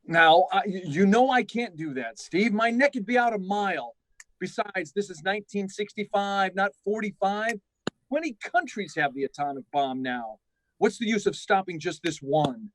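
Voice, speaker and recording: An actor playing a skeptical, protective colleague of a test pilot in a sci-fi radio drama, blustery and placating. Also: male voice, sits high, expressive, moderate pace, fairly bright tone, no echo, rough recording. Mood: placating, exasperated. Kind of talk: live performance of 1950s sci-fi radio drama.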